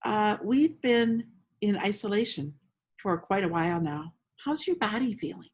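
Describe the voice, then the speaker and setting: Slow, unhurried woman guiding a meditation. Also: mid-range voice, animated, husky. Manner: slow, unhurried, inviting, with long pauses. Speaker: guided meditation host. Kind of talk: guided meditation, gentle storytelling. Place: outdoors among roses.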